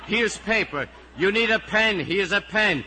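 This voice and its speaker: An Irish man voicing a harried, exasperated high school teacher in a storytelling reading, loud and pleading with his pupils. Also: lively intonation, normal speed. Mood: frantic exasperation. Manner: loud, pleading, repetitive questioning.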